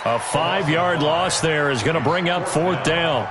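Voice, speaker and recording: A man's voice: a little high, measured pace, close to an everyday voice. A man playing a football play-by-play announcer in a video game, commentating over a stadium broadcast. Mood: brisk, factual. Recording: loud stadium crowd noise behind, game stream. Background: loud crowd and game sounds.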